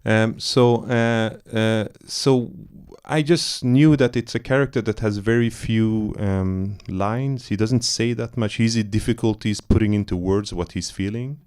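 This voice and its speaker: A man's voice: on the low side, fairly even intonation, measured pace, few pauses, darkish tone, clean tone. A man from Iran, a film director, talking loosely in a post-screening Q&A, searching for words with many fillers and restarts. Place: cinema auditorium.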